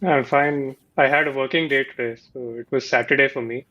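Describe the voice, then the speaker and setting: A man guesting on an online chat gives brief, plain answers in a bright, forward voice. The sound is dulled, like a low-grade mic. He keeps a middling pitch. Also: fairly even intonation, fairly quick. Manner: brief, matter-of-fact answers. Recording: dull lo-fi mic.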